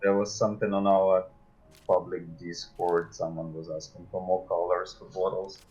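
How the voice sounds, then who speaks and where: Deep voice guy, game dev guest on a stream, casual explaining, kinda hesitant. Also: level tone, moderate pace, darkish tone, lo-fi sound. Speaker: game developer, livestream guest.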